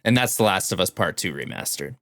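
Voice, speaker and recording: A man's voice: low-pitched, very animated, brisk, fairly bright tone, no room sound. A man co-hosting a podcast, talking informally about games in a conversational way, close to the microphone. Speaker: podcast co-host. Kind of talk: casual gaming podcast chat.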